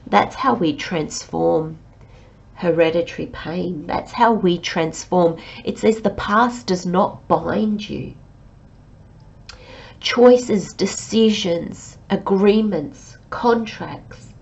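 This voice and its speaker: A woman giving a tarot reading to her listeners, talking in a slow, reflective and gently encouraging way while she has a cold. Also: lowish voice, gravelly, lo-fi sound. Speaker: tarot reader.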